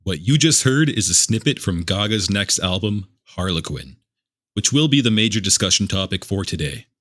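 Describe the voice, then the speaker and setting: A man's voice: sits low, very animated, moderate pace, fairly bright tone, no echo. A man hosting a fan podcast, opening with an upbeat scripted welcome and dry jokes. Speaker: podcast host. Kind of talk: scripted podcast intro and casual chat.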